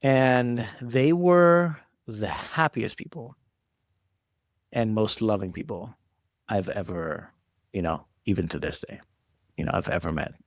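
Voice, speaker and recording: A man's voice: fairly low pitch, measured pace, long pauses, no room sound, studio quality. A man, giving reflective, conversational answers as an interview guest. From Israel. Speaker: podcast guest, entrepreneur.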